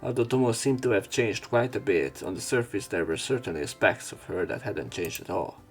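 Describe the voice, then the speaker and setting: Low-voiced man narrating a visual novel over music. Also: lively intonation, brisk. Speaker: let's play host reading aloud and commenting. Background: game music.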